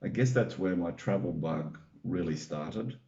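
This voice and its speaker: A man from Australia, answering interview questions in an easygoing, relaxed way. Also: deep voice, measured pace. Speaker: interview guest. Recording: roomy, dull video call audio.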